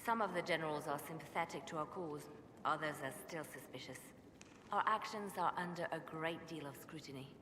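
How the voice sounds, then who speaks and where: A devout female spymaster in a video game, carrying grief with solemn restraint. Her voice is on the low side and measured. It rings in an echoing hall, with clear noise behind it. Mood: composed. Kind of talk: video game cutscene acting. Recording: echoey hall, loud background noise.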